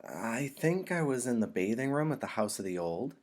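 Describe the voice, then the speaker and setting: A man voicing a young boy in a storybook, halting and earnest as he confides with some embarrassment. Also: medium pitch, big pitch swings, a little mellow, pitched above the performer's own voice, natural voice. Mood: hesitant recalling.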